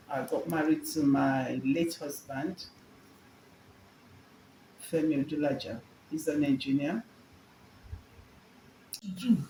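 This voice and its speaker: Mellow Nigerian woman in an echoey room. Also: lowish voice. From Lagos, Nigeria. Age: 50s. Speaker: wellness coach and business owner, interview guest. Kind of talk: conversational interview answers, personal account. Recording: echoey room with background noise.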